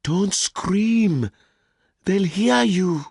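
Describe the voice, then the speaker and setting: A man voicing a frightened young wife who pleads urgently, in a much higher pitch than his own. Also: animated, drawn-out words, vocal fry, darkish tone, husky, dry recording, studio quality, full character voice.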